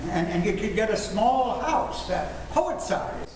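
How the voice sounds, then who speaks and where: A man's voice: high-pitched, very animated, a little slow, a little mellow, heavily acted. An older man playing a scheming, blustery, self-satisfied suburban father in 1940s radio comedy style. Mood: lecturing. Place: indoor stage performance. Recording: echoey stage recording, faint background noise.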